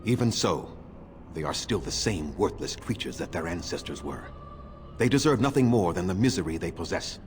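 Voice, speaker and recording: A bitter ancient elf patriarch in a fantasy game, deep-voiced and unforgiving. He speaks formally and with measured condescension, over background music. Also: expressive, a little mellow. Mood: contemptuous. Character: ancient bitter male elf clan leader, proud, unforgiving. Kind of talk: dramatic fantasy game acting.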